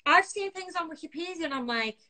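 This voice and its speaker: A woman in her thirties with a husky but bright, high voice chats as an interview guest over a phone held close to her face. She tells her stories casually and laughs her way through them. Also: lively intonation, a little fast. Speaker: guest, pop singer. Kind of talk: casual video-call interview. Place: room indoors at home.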